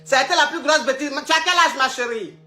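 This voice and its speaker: Blunt high-voiced woman giving advice. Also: fast talker, sharp tone, soft background music. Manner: blunt, calls caller "ma chérie", repeats herself. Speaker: advice show host. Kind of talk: live call-in advice chat.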